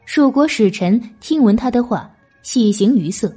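A woman's voice: a little high, lively intonation, normal speed, warm tone, husky, soft background music. A woman narrating a historical novel as a scripted audiobook.

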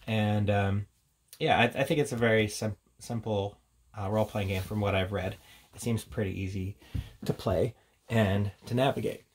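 A man's voice: lowish voice, a little hiss or hum. A man giving a casual, off-the-cuff product review in an informal, chatty way as he presents. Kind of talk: casual unboxing and review chat.

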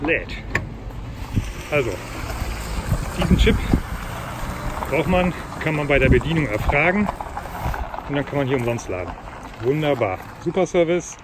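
A man's voice: fairly high pitch, expressive, a little slow, pauses often. A man in his forties chatting casually through a travel vlog, explaining things as he goes and thinking aloud. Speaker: vlogger. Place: outdoors by a car park and in a car. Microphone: phone camera at arm's length. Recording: loud outdoor noise.

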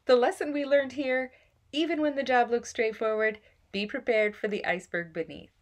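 A woman's voice: on the high side, fairly even intonation, moderate pace. A woman in her thirties narrating her home renovation vlog in an earnest, scripted storytelling way.

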